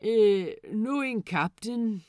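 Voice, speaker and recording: A woman's voice: medium pitch, lively intonation, slow pace, a little mellow, no room sound, pitched above the performer's own voice. A woman voicing a mild, practical male pirate crewman who speaks hesitantly, with the cadence of a question. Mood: unsure.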